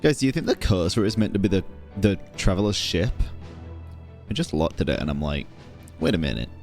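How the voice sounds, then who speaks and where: A man's voice: low-pitched, big pitch swings, fairly quick, creaky. A young man casually reacting to a game, joking, swearing and using slang. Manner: loud, profane, joking, slangy. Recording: game audio and music in background.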